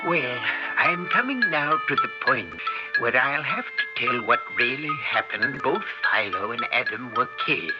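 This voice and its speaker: An actor playing a mild, hesitant scientist in 1960s radio drama, speaking in a measured way. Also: male voice, mid-range voice, lively intonation, close to an everyday voice. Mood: grave, reflective. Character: mild, hesitant male scientist recounting deaths on tape. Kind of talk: 1960s radio drama acting, first-person narration and dialogue. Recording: muffled old radio recording with music.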